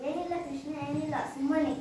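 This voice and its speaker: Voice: high-pitched, fairly even intonation, fairly quick, mellow, natural voice. A child acting as Sudama, a poor and humble friend, in a school stage play, speaking earnestly. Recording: echoey hall, distant mic, faint hiss.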